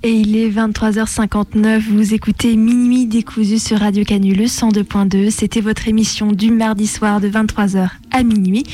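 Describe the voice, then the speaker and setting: A woman's voice: mid-range voice, level tone, moderate pace, few pauses, warm tone. A woman hosting a radio show, presenting in a scripted on-air style. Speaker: radio host.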